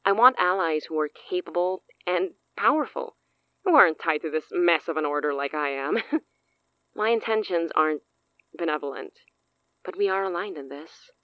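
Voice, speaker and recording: An actress playing a cunning, self-assured sorceress in an audio drama, speaking in a theatrical, silky, condescending way. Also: female voice, fairly low pitch, expressive, moderate pace, clean tone, dry recording, dull audio, close to an everyday voice. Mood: smooth, commanding. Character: cunning, self-assured woman sorceress offering a dangerous pact.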